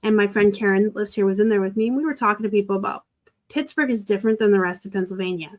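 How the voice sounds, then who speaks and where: A woman from Arizona chatting casually as host of a live stream, talkative and quick to laugh. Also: medium pitch, darkish tone. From Arizona, raised by a Southern family. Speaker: host of a reseller live chat.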